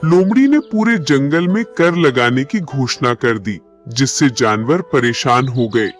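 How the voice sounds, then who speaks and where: A man's voice: mid-range voice, animated, unhurried. Male storybook narrator with music behind. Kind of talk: scripted cartoon story narration.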